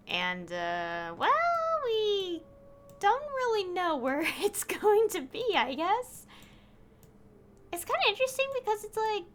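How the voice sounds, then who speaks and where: A woman streamer chatting casually through a game, easily delighted and quick to laugh. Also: fairly high pitch, fairly bright tone, a little hiss or hum. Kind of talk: casual gameplay commentary.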